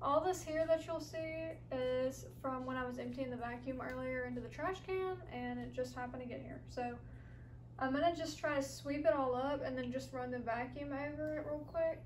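A woman's voice: sits high, normal speed, far from the mic, a little hiss or hum, rough recording. Young woman chatting in a clean-with-me vlog. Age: young adult. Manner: chatty, lots of ums and so yeah. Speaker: vlogger. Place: small house rooms, indoors. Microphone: camera on a stand, from across the room.

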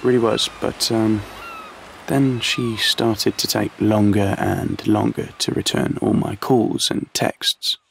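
A man's voice: lowish voice, steady tone, slow pace, vocal fry, slight background noise. An actor playing a naive, lovesick young British man in an audio play, telling his story in a colloquial, confiding way. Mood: wistful. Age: young adult. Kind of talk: audio play monologue and dialogue.

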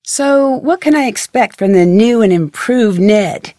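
A woman's voice: a little high, animated, measured pace, dark tone, no echo, studio quality. A young woman voicing a friendly, curious, plucky amateur detective in a video game, with earnest, conversational delivery. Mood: affectionate, playful.

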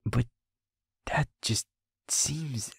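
A man playing a feisty, shaken young werewolf boyfriend in an intimate audio roleplay, husky and halting, muttering in a half-whisper. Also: mid-range voice, fairly bright tone, dry recording, studio quality, full character voice. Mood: troubled, uneasy. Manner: halting, muttering, blunt swearing.

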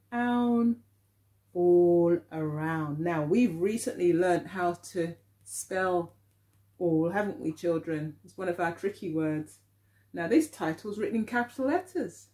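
Slow, very animated woman teacher reading aloud. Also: medium pitch. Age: middle-aged. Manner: expressive, deliberate, questioning delivery for small children. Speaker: early years teacher. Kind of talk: picture-book read-aloud to young children. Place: living room at home, indoors.

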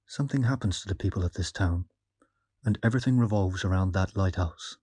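A man acts as a grave investigator of strange mysteries in an audio drama. His low voice has a rough husk, recorded dry and close, and he speaks as one giving warnings. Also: a little fast, mellow, no room sound. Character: grave, cautioning man who studies the town's mysteries.

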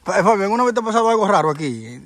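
A man's voice: high voice, sing-song, rapid-fire, a bit bright. A man with a Dominican Spanish accent, hosting a casual outdoor interview and talking to his viewers. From Dominican Republic. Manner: friendly, addresses viewers as "señores". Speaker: vlogger host. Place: outdoors in rural hills.

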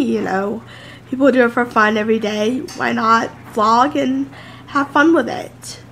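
A woman's voice: on the high side, fairly bright tone, slight background noise. A woman in her thirties talking casually to camera in a home office, rambling and chatty, sounding congested and a bit nasal. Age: 30s. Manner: rambling, chatty, many fillers. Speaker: vlogger. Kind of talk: casual sit-down chat to camera.

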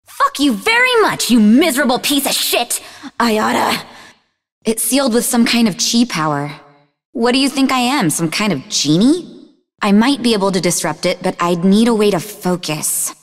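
Sardonic young half-demon, lively pitch. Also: female voice, normal speed, in a large hall. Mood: angry, then urgent. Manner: dry, sardonic. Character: sarcastic, bookish young half-demon woman, sharp-tongued and irritable. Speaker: video game voice actor. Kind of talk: scripted video game character dialogue.

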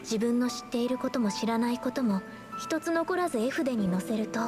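A woman voicing an earnest, gentle young female painter in an anime game, speaking politely and solemnly. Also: on the high side, expressive, over music, close to an everyday voice. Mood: sorrowful, reflective. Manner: polite, solemn, measured speech.

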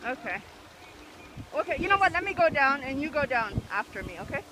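A woman's voice: high voice, big pitch swings, fairly quick. A woman chatting casually while she films on a phone outdoors on a ski slope. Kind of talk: casual chat while skiing and filming. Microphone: handheld phone. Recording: noisy outdoor phone recording with handling clicks.

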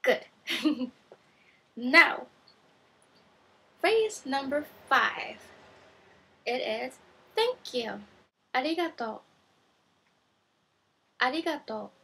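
Slow, breathy young woman teaching. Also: high voice, sing-song, bright, forceful tone. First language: Japanese. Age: young adult. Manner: slow, careful, repeats each phrase twice. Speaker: vlogger teaching phrases. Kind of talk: casual video lesson. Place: bedroom, indoors. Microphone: webcam at desk.

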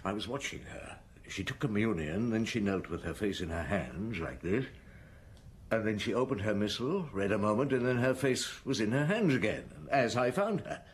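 An actor in a radio drama playing a brooding police chief inspector, deliberate and questioning, thinking aloud. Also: male voice, on the low side, lively intonation, breathy edge. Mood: pondering. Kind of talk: BBC radio drama acting. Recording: noisy old radio broadcast.

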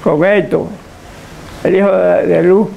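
An elderly man with a Dominican accent and a gravelly voice, slowly recalling his life in a living-room interview, often repeating and restarting his words. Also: high-pitched, flat delivery, dark tone, muffled recording, slight background noise. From Dominican Republic. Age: older. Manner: halting, repeats and restarts words, short assents. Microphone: lapel mic.

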